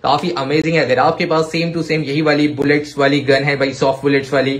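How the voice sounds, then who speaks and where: A young adult man with an Indian accent presenting toys to children in an excited, exclamatory, emphatic way. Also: a little high, fairly quick, noisy background. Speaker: toy review channel host. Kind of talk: excited toy showcase commentary for children.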